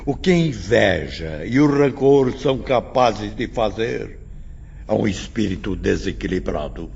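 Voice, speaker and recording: A man's voice: on the low side, animated, measured pace, creaky, darkish tone, slight background noise. An actor playing a grave spiritual teacher, solemn and didactic as he lectures pupils in an audio drama. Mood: solemn warning. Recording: dull lo-fi, music behind.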